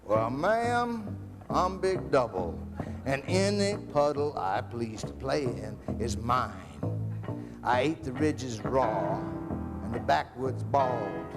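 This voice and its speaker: A man voicing a huge, greedy, boastful male bear in a slow, menacing drawl, over guitar music. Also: mid-range voice, sing-song, voice pushed down.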